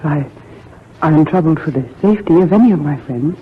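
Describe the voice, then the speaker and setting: A woman's voice: deep voice, lively intonation, fairly quick. An actress playing an earnest, genteel young colonial plantation lady in a 1940s radio drama. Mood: concerned. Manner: genteel, refined phrasing. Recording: tinny narrow-band 1940s radio recording.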